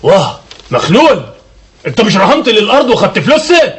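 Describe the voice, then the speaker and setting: A man's voice: high voice, very animated, quick pace. A sly, pushy village man. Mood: needling. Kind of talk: TV drama acting.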